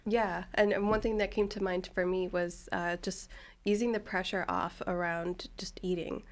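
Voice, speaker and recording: A woman hosting a podcast and interviewing a guest in a warm, enthusiastic, casual way. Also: lowish voice, little pitch movement, normal speed. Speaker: podcast host.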